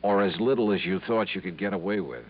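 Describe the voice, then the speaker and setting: A man's voice: low-pitched, fast, breathy edge. A man playing a wry, clipped, skeptical insurance investigator in a 1950s radio detective drama. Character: wry, hardboiled insurance investigator narrating his case. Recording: dull vintage radio recording.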